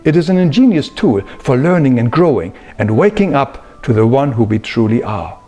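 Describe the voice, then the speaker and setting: A man giving an explanatory spiritual talk in an earnest, emphatic manner with measured phrasing. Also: medium pitch, big pitch swings, mellow, breathy edge, soft background music. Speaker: spiritual teacher.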